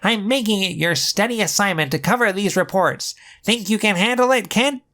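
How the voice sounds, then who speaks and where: A man voicing a brusque male newspaper editor who talks in a gruff, businesslike way. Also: high-pitched, normal speed, pushed and bright, pitched above the performer's own voice.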